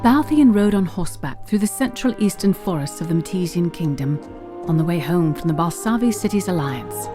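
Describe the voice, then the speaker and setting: A woman's voice: fairly low pitch, lively intonation, brisk, over music. A woman narrator reading scripted story narration for a fantasy audio drama.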